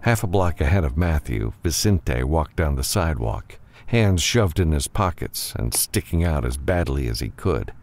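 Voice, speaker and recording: Very deep, gravelly male audiobook narrator. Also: very animated, normal speed, a little mellow. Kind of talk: scripted fiction narration.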